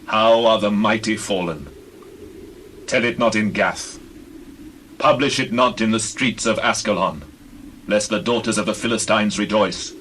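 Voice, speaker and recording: An actor playing a solemn warrior leader of ancient Israel in slow, measured declamation, in a scripture audio drama on old cassette. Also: male voice, medium pitch, fairly bright tone, natural voice. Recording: noisy old cassette tape recording.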